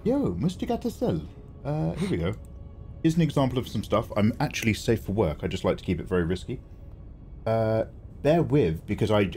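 A man with an English accent, chatting excitably on his live stream and swearing freely. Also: low voice. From England. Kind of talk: casual live-stream chat.

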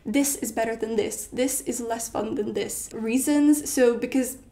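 A woman's voice: on the high side, unhurried, mellow. A young woman in her late teens, a native Polish speaker, giving casual study advice in a chatty way full of "like" and "you know", filmed in her bedroom. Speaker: study vlogger. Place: bedroom at home.

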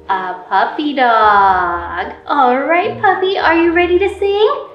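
High-pitched, unhurried woman hosting children's storytime. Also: expressive, muffled recording, faint music behind. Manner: encouraging, simple child-directed talk with questions and praise. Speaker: children's librarian and storytime host. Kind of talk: children's storytime, talking and singing to toddlers. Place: indoor library room with painted mural. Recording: roomy, distant camera pickup.

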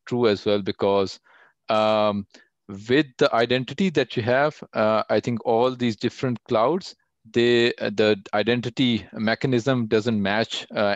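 A man's voice: lowish voice, dry recording, muffled recording. A man answering technical questions over a video call, talking with many fillers and restarts. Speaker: guest speaker.

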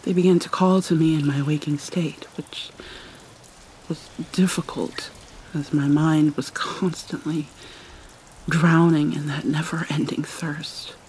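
A woman playing a haunted, sorrowful vampire mermaid in a soft, breathy ASMR roleplay, halting and often slipping into a whisper. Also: sits low, poor audio. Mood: troubled. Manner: halting, mournful, dramatic pauses. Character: vampire mermaid, sorrowful young-looking princess, centuries old, haunted. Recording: steady rain and water noise behind. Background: rain ambience.

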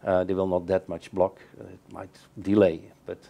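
A middle-aged man with a low, mellow voice explains his views in a measured way, often restarting his sentences. He speaks from a table in a bright room by large windows during a panel discussion. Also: level tone, vocal fry, lo-fi sound. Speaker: keynote speaker. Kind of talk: answering questions in a panel discussion.

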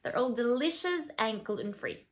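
Playful Venezuelan woman, bright voice. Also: fairly high pitch, moderate pace. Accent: Venezuelan Spanish. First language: Spanish. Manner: playful, animated, drops to asides and whispers. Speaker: skincare brand founder. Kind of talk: scripted talk to camera. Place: plain studio backdrop, indoors.

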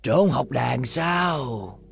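A man voicing a sly, scheming old scientist with a gravelly voice and coaxing manner in a cartoon dub. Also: medium pitch, expressive, drawn-out words, exaggerated voice. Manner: sly, coaxing, chuckling villain.

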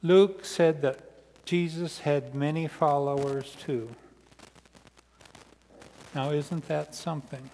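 An older man with an American accent preaching a sermon in measured, deliberate phrases as a pastor. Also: fairly high pitch, fairly even intonation, a little mellow, a little hiss or hum. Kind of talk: sermon read from notes. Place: large church sanctuary, indoors, seated at the front. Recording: reverberant church hall sound.

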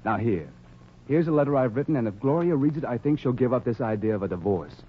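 Man acting desperate husband, earnest, urgent, swinging pitch, muffled vintage radio drama. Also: lively intonation, quick pace, lightly acted. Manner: urgent, pleading. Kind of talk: 1940s radio comedy acting. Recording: muffled, hissy 1940s radio recording.

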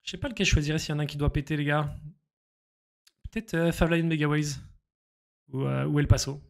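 A man, live-streaming slot games and chatting excitedly with viewers over a headset in a small room. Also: fairly high pitch, brisk, creaky. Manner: excitable, slangy, chats with viewers. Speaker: casino streamer.

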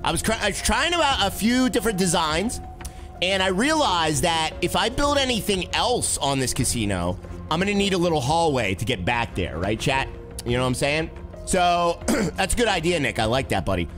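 A man with a raspy throat, streaming a game casually and chatting with viewers as host. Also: on the high side, normal speed, faint music behind. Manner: animated, talks to chat and reads comments aloud.

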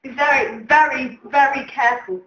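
A woman's voice: sits high, fairly even intonation, normal speed. A young woman giving a mock cookery demonstration as presenter. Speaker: cooking show presenter. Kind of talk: mock cooking show demonstration. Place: home kitchen. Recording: echoey kitchen, faint background noise.

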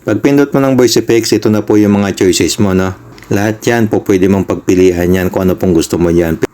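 Low-pitched Filipino man giving a casual tutorial. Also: fairly quick, mellow. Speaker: tutorial host. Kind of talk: casual step-by-step app tutorial.

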